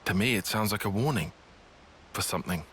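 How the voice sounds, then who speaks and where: An actor playing a guarded, dry-witted young soldier in a video game cutscene, sounding sardonic. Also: male voice, on the low side, lively intonation, fairly quick, fairly bright tone, a little hiss or hum. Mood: pensive.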